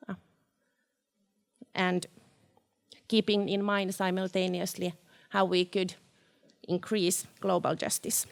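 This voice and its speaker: A woman's voice: mid-range voice, gravelly. A woman giving a prepared welcome as host of a public discussion. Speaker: event host and project manager. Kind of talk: prepared welcome speech to an audience.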